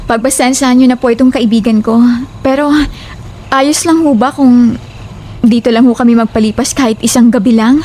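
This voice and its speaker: A timid young woman seeking lodging, played by an actress in a horror audio drama. She sounds hesitant, polite and wary, with a very high voice over slight background noise. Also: steady tone, moderate pace, pauses often, mellow, close to an everyday voice.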